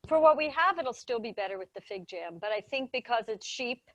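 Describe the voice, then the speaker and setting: A woman's voice: a little high, expressive, fairly quick, dry recording. A woman giving a casual, enthusiastic talk on how cheese is made, often correcting herself mid-sentence, over a video call. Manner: chatty, enthusiastic, self-correcting. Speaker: cheese expert presenter.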